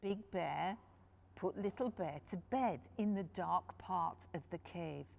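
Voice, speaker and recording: A woman's voice: lowish voice, slow, creaky, a little mellow. A woman reading a picture book to young children, phrasing things simply and speaking to her listeners directly. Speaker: children's storyteller.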